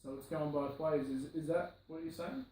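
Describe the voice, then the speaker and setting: A man's voice: on the high side, fairly even intonation, normal speed, darkish tone, a little hiss or hum. Distant echoey male interviewer. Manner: conversational, asks long leading questions. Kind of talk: casual interview questions. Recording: far from the mic in a very echoey room.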